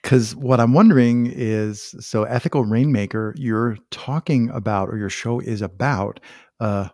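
A man's voice: mid-range voice, a little slow, darkish tone, dry recording, studio quality. Rambling, jokey man hosting a podcast. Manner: rambling, jokey, self-interrupting. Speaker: podcast host. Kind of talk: casual interview chat.

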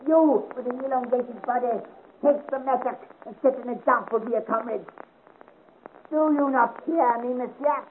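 An actor in a 1950s radio adventure serial playing a small, hot-tempered, pompous French artillery captain who explodes with indignation. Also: male voice, very high-pitched, steady tone, normal speed, a crowd in the background. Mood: furious, blustering. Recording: noisy, tinny old radio recording.

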